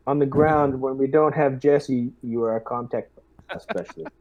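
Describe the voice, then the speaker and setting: A man speaking in character as a squad leader in a tabletop game, measured as he gives orders and briefings. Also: mid-range voice, fairly even intonation, a loud crowd. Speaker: player acting as squad lieutenant. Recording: dull, slightly lo-fi audio.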